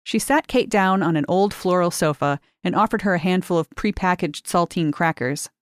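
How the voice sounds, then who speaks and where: A woman reading short fiction aloud in a measured, unhurried way. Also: lowish voice, no room sound, studio quality. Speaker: narrator.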